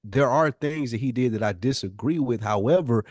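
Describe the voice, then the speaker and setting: A man's voice: medium pitch, normal speed, a little mellow, no echo, clean studio sound. A man hosting a podcast with casual commentary, blunt and slangy, repeating phrases for emphasis. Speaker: podcast host.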